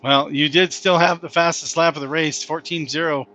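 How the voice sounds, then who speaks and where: A man's voice: a little high, fairly even intonation, normal speed, bright, forceful tone, soft background music. A man hosting a sim-racing broadcast, interviewing drivers after the race in an easygoing way with fillers. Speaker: race commentator host.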